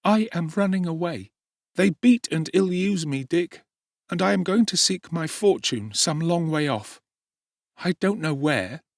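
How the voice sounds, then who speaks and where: A man's voice: a little high, expressive, unhurried, no room sound, clean studio sound, voice pushed up. A man voicing a young runaway orphan boy. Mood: urgent, earnest.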